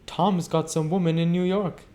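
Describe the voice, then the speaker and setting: Man doing a high, gossipy young woman. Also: little pitch movement, normal speed, mellow, natural voice. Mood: indignant gossip. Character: gossipy, blunt, poised young society woman.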